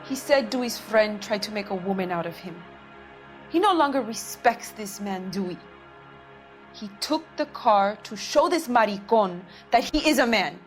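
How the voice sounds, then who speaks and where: A woman's voice: mid-range voice, lively intonation, moderate pace, natural voice. A woman playing a defiant, loyal young pregnant girlfriend in a game drama. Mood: indignant. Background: background music.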